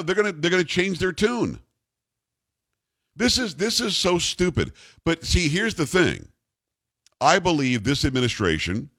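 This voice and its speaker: A man's voice: mid-range voice, fairly bright tone, no echo. An American man hosting political talk radio, giving opinionated commentary in an emphatic, incredulous and sarcastic manner. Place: radio studio.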